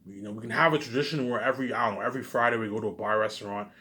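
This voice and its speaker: A man's voice: lowish voice, fairly even intonation, racing, bright, forceful tone. Man rambling casually in repetitive loops. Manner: repetitive, circling back over the same phrases.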